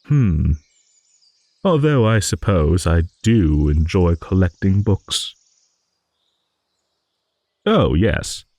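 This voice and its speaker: A man playing a gentle, courteous old dragon who rambles hesitantly in an improvised fantasy audio roleplay. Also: low-pitched, expressive, dry recording, full character voice. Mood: bemused, modest. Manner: rambling, hesitant, genteel, with musing hums and pauses.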